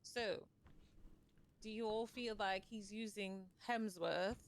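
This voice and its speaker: A woman playing a measured discussion leader in a fantasy realm, feeling out her words and probing with questions in live online roleplay. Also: mid-range voice, fairly even intonation. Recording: clearly noisy mic with clicks.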